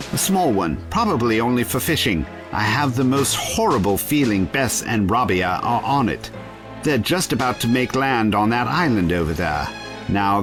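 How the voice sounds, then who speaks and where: Quirky principled adventurer, wry, talkative, lively swings in pitch, audio drama, music underneath. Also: male voice. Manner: wry, musing. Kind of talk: full-cast audio drama acting.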